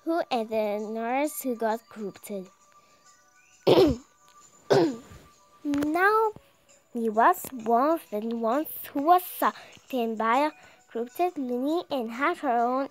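A very high, youthful female voice reads visual novel text aloud at a slow pace. She is dramatic but halting, often repeating and tripping on words, with music playing behind her. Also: poor audio. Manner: halting, stumbling over words and repeating them.

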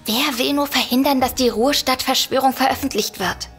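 A woman's voice: high-pitched, a little fast, fairly bright tone, husky, faint music behind, exaggerated voice. A woman playing a frightened, worried novelist sister in an audio drama. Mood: anxious. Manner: worried, hesitant. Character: anxious, frightened sister, a novelist under threat. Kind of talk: German audio drama acting.

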